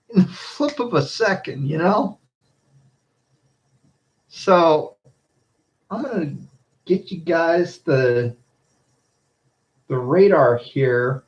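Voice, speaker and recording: Male storm spotter streamer, unhurried and halting, casual commentary to viewers, slightly muffled sound. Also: on the high side. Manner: halting, repeats phrases, addresses viewers as guys.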